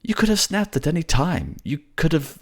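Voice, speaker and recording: An animated man playing a male mage in a fantasy drama, his pitch lifted well above the performer's own. He sounds concerned and affectionate yet exasperated, with emphatic, urgent phrasing and a touch of gravel. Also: moderate pace, full character voice. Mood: incredulous, worried. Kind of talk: fantasy audio drama roleplay.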